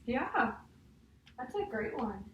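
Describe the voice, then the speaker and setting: Higher-voiced woman teacher in a roomy space. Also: very animated, takes it slowly. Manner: guiding, often ends statements with a checking "huh?". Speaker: teacher leading a read-aloud. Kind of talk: discussing a picture book with a child. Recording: roomy, distant mic, faint noise.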